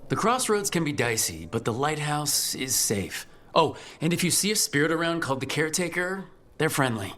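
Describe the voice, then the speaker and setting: Lively, fairly high-voiced game hero. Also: male voice, normal speed. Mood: wry, reassuring. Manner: probing, straightforward, lightly wry. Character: heroic male party leader, direct, wry and concerned. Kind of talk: video game dialogue acting.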